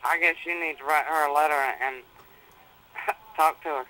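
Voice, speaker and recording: A woman's voice: low-pitched, fairly even intonation, moderate pace, bright, forceful tone, rough recording. A mother on the phone with her jailed daughter, patient and reassuring, explaining the court plans and calling her baby. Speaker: mother of the inmate. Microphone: telephone.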